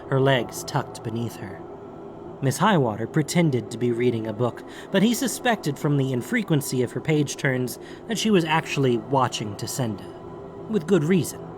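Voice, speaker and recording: A man's voice: mid-range voice, background noise. A man reading scripted fantasy fiction as a dramatic audiobook narrator.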